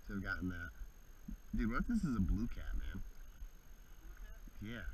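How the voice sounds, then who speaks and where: A man chatting excitedly with his fishing partner outdoors on a lake, saying dude and man a lot. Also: low voice, measured pace, noisy background. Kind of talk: excited casual chat.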